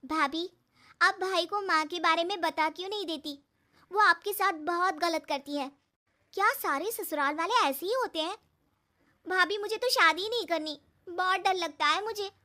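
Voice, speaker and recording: A woman's voice: very high voice, normal speed, sharp tone, voice pushed up. A woman voicing a timid, anxious young bride in a cartoon story, pleading and fearful. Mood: worried, fearful.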